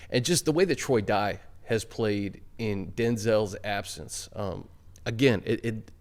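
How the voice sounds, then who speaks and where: A man's voice: on the low side, a little slow. A man hosting a casual football discussion, steering the conversation among the panel. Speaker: sports talk show host.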